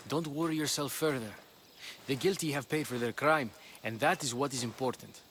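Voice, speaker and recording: Spartan soldier male actor, formal n matter of fact, medium pitch, bit of noise behind, game cutscene. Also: normal speed, a bit bright, close to an everyday voice. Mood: stern, dismissive. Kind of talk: video game cutscene acting.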